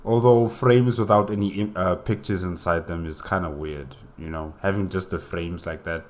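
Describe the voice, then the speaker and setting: A man giving casual, unscripted reaction commentary, musing in a hesitant, halting way. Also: deep voice, fairly even intonation. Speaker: reaction video host.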